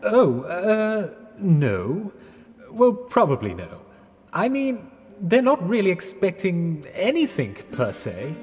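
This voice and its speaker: A bumbling, well-meaning English fellow in a radio drama. His voice is on the high side and swings widely in pitch, a little husky. He hesitates and corrects himself politely, and the space around him rings with reverb. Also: wildly swooping pitch, takes it slowly, darkish tone, exaggerated voice. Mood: evasive, nervous. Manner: hesitant, flustered, polite, backtracking. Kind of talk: 1920s-set radio drama acting.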